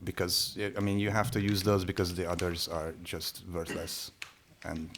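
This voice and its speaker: Hungarian-native man, low creaky voice, halting podcast chatter. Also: fairly even intonation, a bit bright, slight background noise. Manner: hesitant, many restarts and fillers. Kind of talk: casual conversation.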